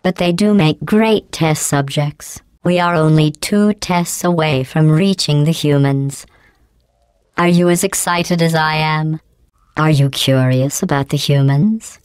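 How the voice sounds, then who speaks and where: A cold, sarcastic female AI computer talks to her test subjects in a flat, condescending, passive-aggressive tone. Her synthetic-sounding voice sits low and comes out smooth and clean. The game recording is dry and close, with no room sound. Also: measured pace, no echo. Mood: irritated. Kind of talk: video game voice clips.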